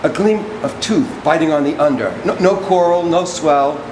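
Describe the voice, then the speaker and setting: A man playing a servile, wordy orator in a stage drama, reciting poetic text in a halting, deliberate, declamatory way. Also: fairly high pitch, noisy background, close to an everyday voice. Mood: solemn, descriptive. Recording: echoey room with music behind.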